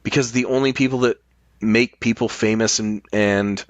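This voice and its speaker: A man's voice: lowish voice, fairly even intonation, normal speed, no room sound. A middle-aged American man in casual, opinionated chat, making his points emphatically and swearing freely.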